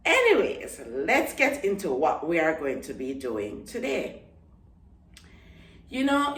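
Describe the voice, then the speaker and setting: A woman's voice: medium pitch, fairly bright tone, reverberant, distant mic. A young woman from Jamaica with a Jamaican accent, chatting casually to her vlog audience and repeating words for emphasis. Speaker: vlogger. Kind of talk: casual talk to camera.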